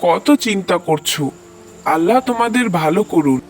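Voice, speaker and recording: A man's voice: sits high, expressive, normal speed, loud music behind the voice. A man voicing a kindly, thoughtful and devout village father in a cartoon story.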